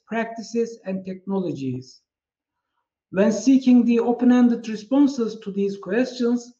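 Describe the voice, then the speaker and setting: A Turkish-accented man gives a measured, prepared keynote with a fairly high, mellow voice. The sound is dull and muffled, as if recorded with a low-grade mic in an echoey room. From Turkey. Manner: measured, reads from slides. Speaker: keynote speaker.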